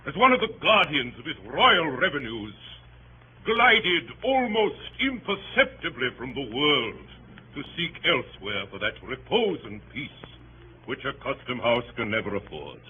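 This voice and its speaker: An actor playing a pompous, grandiose barrister making an oratorical speech in a 1930s radio drama. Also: male voice, mid-range voice, very animated, measured pace. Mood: theatrical, mournful. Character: grandiose, bombastic male barrister addressing a jury. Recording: noisy, dull 1930s radio recording.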